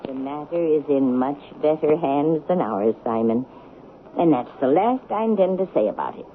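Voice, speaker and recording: A prim, pious old lady in a radio play, fairly low in pitch with very lively intonation. She is genteel and moralizing, gentle yet unbending. The recording is narrow and tinny. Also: a little hiss or hum. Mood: firm, righteous. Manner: proper, moralizing, deliberate. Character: prim, pious elderly female cousin, gentle but unbending. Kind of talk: 1970s radio drama acting.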